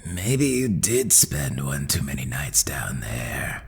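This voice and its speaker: A man voicing an old, calm, coaxing vampire noble in a tabletop audio game, with long reverb on the voice. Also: very deep voice, takes it slowly, voice pushed down, full character voice. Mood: dry, inviting. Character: old Marquise, calm older crypt-dwelling vampire noble acquaintance. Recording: long hall reverb, clear noise.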